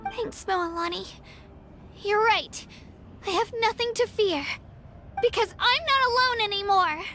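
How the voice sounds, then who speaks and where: A woman's voice: very high-pitched, measured pace, bright, forceful tone, soft background music, full character voice. A woman voicing a small, sweet, earnest young girl, timid but determined, in an anime-style game, childlike and sincere. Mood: heartened.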